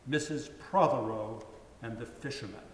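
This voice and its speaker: A man's voice: medium pitch, moderate pace, slight background noise. A man giving a dramatic reading of a story, savoring each phrase. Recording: echoey hall with long reverb.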